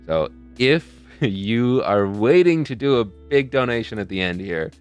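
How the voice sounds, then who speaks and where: A man's voice: mid-range voice, lively intonation, a little slow, clear, resonant tone, soft background music. A young man hosting a charity live stream in a casual, rambling way, often losing his train of thought. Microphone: desk mic close to a face camera.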